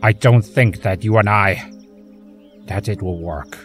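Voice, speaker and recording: A man's voice: lowish voice, a little slow, faint music behind, close to an everyday voice. A man playing a bitter, self-loathing creature of chaos in an audio drama, theatrical and volatile. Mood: cold, resigned. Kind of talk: fan audio drama acting.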